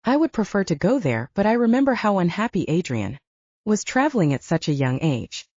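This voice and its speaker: A woman narrating an audiobook and voicing a calm, cautious husband and father who reasons things through in a measured way. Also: mid-range voice, no echo, highs cut off. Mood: reasoning, hesitant.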